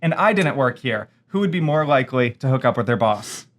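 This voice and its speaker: A man's voice: medium pitch, lively intonation, a little fast, fairly bright tone. A man in his forties, a property manager and podcast host, joking and laughing often in casual office banter. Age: 40s.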